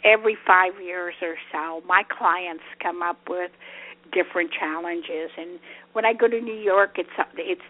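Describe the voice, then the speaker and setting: An older woman hosting a phone interview, reflective and halting, speaking of energy. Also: on the low side. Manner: reflective, talks of energy, slow halting phrasing. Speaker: host. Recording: narrow telephone line.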